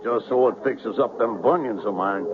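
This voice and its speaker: An actor playing a gullible, plain-spoken man, slow and folksy, in a 1970s radio drama. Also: fairly low pitch, lightly acted. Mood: resigned, hopeful. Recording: very noisy, narrow-band 1970s radio recording.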